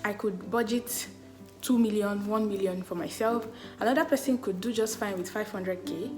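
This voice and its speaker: Loud chatty Nigerian woman vlogger. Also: medium pitch, moderate pace. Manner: chatty, full of "like" fillers, laughs often. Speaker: travel vlogger. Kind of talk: casual talk to camera. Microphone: camera mic, no external mic. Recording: background music.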